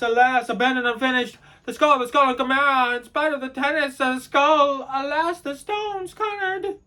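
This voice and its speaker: An actor as a wretched servant in an absurdist stage play, delivering a broken pseudo-scholarly tirade. The voice sits at a medium-to-high speaking pitch for theatre, declamatory and unstable. Phrases stammer and repeat, swinging between murmur and cry. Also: sharp tone, natural voice. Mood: anguished, frantic.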